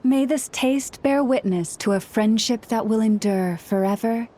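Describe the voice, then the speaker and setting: A woman playing a gentle, earnest, kindly young woman in a video game, speaking formally and a little poetically. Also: on the high side, measured pace. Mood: gentle, warm. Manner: soft-hearted, formal, poetic. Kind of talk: video game character acting.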